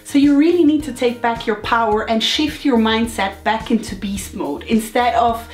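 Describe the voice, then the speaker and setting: A woman in her thirties, a bit hoarse from a cold, giving an upbeat, encouraging motivational talk to her viewers. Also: a little high, normal speed, reverberant, faint music behind. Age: 30s. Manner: upbeat, encouraging, chatty asides. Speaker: lifestyle vlogger. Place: home room.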